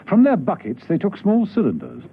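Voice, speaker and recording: A man's voice: high-pitched, very animated, fairly quick, vocal fry. A man narrating a spy radio drama in a dramatic, scripted storytelling style. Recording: tinny, noisy old radio broadcast.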